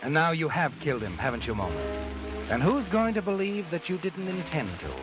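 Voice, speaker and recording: An actor playing a sardonic, knowing narrator in dramatic 1940s radio suspense style. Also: male voice, a little high, expressive, fairly quick, lightly acted. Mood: ominous, insinuating. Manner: insinuating, dramatic. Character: sardonic, knowing male narrator, needling the guilty. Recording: muffled old radio broadcast, music behind.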